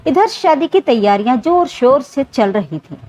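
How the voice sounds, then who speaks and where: A woman's voice: high-pitched, very animated, normal speed, darkish tone. A woman narrating a scripted story in an emotive, dramatic way. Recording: dull, lo-fi sound.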